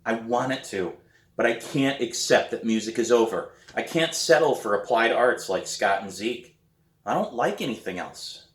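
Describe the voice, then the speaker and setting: A man reading a novel aloud as its author, with expressive, deliberate and dramatic storybook narration. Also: medium pitch, echoey room.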